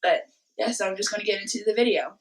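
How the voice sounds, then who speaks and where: A young woman with a hoarse voice from a cold, casually talking through a craft tutorial from her bedroom. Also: mid-range voice, low-quality recording. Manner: slow, rambling, many fillers. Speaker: cosplay vlogger. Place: bedroom at home.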